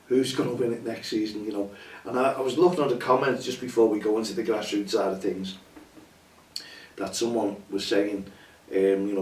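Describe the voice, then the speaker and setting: A hoarse man hosts a casual chat show, rambling and chatty with lots of fillers. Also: lowish voice, a little mellow, a little hiss or hum. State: hoarse, losing his voice. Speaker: show host. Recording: roomy echo, distant from mic, faint ambience.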